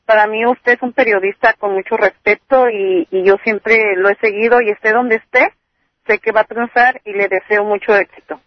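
A woman's voice: medium pitch, steady tone, normal speed, dry recording. Woman phoning in to a radio show, polite n respectful, muffled phone line sound. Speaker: radio caller. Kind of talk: casual call-in comment. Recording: dull telephone call audio.

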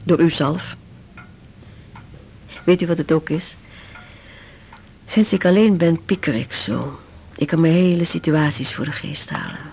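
Older widow: lonely and brooding, gravelly, halting delivery, radio drama, faint noise behind. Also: female voice, low voice, warm tone. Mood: troubled, melancholy. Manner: halting, confiding, trailing off. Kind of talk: naturalistic Dutch radio drama acting.

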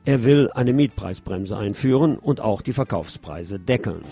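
A middle-aged man hosting a radio show, reading news commentary in a measured, opinionated way. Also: fairly low pitch, animated, loud music behind the voice. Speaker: radio host.

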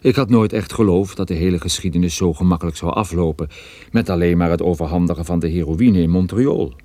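An actor playing a hard-boiled private detective who narrates a radio play in a dry, wry, laconic way. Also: male voice, deep voice, a little fast, warm tone, dry recording, close to an everyday voice. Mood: grim suspense. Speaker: narrator and protagonist.

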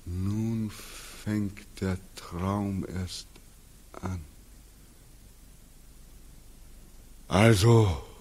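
An actor playing a tormented returning soldier in a 1940s radio drama, theatrical and obsessive as he recounts a nightmare. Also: mid-range voice. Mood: haunted, brooding. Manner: theatrical, obsessive, swinging from hushed murmurs to frenzied cries. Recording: muffled, husky 1940s radio recording.

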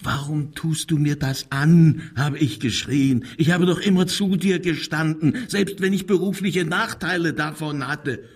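An actor playing an evasive, bigoted, self-pitying murderer making a halting, defensive confession in a German radio crime drama. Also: male voice, a little high, breathy edge. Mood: agitated, furious. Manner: halting, defensive, wavering between muted and agitated.

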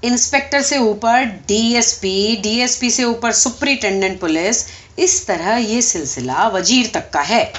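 A woman's voice: fairly high pitch, close to an everyday voice. A woman voicing a bossy, easily irritated male police sentry in a story reading, challenging and scolding.

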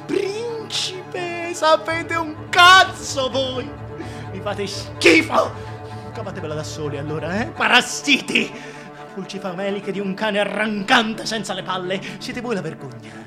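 A man's voice: expressive, unhurried, music in the background. An actor playing a vain, self-pitying tyrant king in a theatrical, declamatory radio drama monologue, volatile and contemptuous. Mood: contemptuous, ranting. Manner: declamatory, volatile, contemptuous, swinging from hushed to shrill.

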